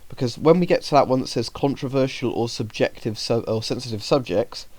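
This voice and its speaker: A man's voice: mid-range voice, brisk, a little mellow, no echo. A young man with a cold, breathing heavily, chatting to his viewers in a rambling, filler-heavy way and growing indignant over the rules. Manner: indignant when reading rules aloud. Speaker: YouTuber talking to viewers. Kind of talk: casual vlog commentary.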